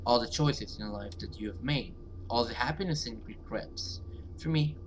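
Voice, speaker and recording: A man's voice: mid-range voice, normal speed, background noise. Man reading a horror game aloud, casually. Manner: stumbles over read words and repeats them. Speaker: gaming video host. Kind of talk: let's play reading visual novel text aloud with casual commentary.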